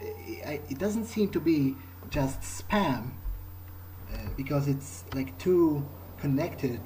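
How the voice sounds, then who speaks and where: A man's voice: fairly high pitch, animated, takes it slowly, warm tone, slight background noise, rough recording. A man improvising aloud in character during a game playthrough, speaking in a hesitant, thoughtful, musing way. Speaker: gamer playing in character. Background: game music.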